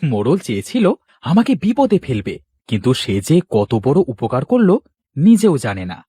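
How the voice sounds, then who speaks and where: A man voicing an excitable village man in a children's cartoon folk tale. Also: mid-range voice, normal speed, slightly rough, no echo. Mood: pleased. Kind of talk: children's cartoon story acting.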